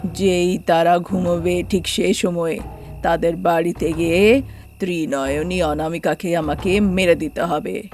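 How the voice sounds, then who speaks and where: Cartoon henchman female performer: male, lowered pitch, slow scheming delivery, menacing. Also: fairly even intonation, a little mellow, faint music behind, natural voice. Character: menacing village thug plotting for money, adult man.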